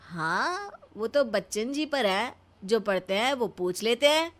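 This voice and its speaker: A woman's voice: a little high, sing-song, measured pace, a bit bright. A woman voicing a boastful male fixer with connections, casual and offhand, in a story.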